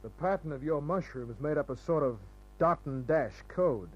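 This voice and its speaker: An actor playing a wry, cool-headed private detective in an old radio noir, narrating and talking in terse, clipped phrases. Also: male voice, a little high, animated, moderate pace. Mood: cool, confident. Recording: muffled old radio recording.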